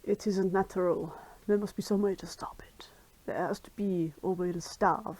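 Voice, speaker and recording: Woman playing a grave, breathy male bird warrior. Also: medium pitch, steady tone, normal speed, a little mellow, close to an everyday voice, poor audio.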